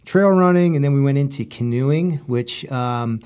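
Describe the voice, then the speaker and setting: A man's voice: medium pitch, moderate pace, darkish tone, clean tone. Easygoing man in his forties chatting. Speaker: podcast guest, Marine officer. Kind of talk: casual interview chat.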